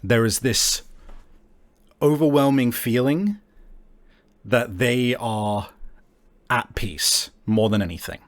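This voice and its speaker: A man narrating a tabletop game as game master, improvising haltingly and searching for his words. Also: medium pitch.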